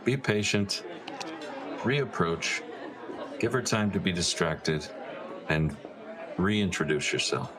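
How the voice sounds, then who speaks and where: A man acts out a large, stoic fantasy adviser with a deep voice and a slow delivery. He is patient and encouraging, with measured, sage-like phrasing in tabletop roleplay. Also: animated, a crowd in the background. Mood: calm, encouraging. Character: patient, stoic, large blue-skinned robed male adviser. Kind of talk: improvised tabletop roleplay dialogue.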